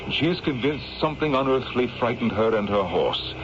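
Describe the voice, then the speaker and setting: A man playing a firm, rational colonel and protective middle-aged father in an old radio drama, speaking with measured, reassuring authority. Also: mid-range voice, expressive, music in the background, lightly acted. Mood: resolute. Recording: dull, noisy old radio drama recording.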